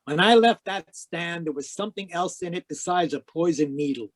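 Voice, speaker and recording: An actor playing a world-weary, wisecracking private detective in 1940s radio noir style. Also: male voice, fairly high pitch, moderate pace, no room sound, close to an everyday voice. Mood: wry, brooding. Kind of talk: 1940s radio noir acting, first-person narration. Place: home room, live video call performance.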